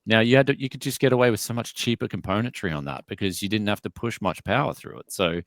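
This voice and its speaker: Deep-voiced aussie-born guy rambling on a video call from his home studio, dry close sound, says dude a lot. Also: quick pace, no room sound. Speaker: tech YouTuber guest. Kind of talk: casual video call chat.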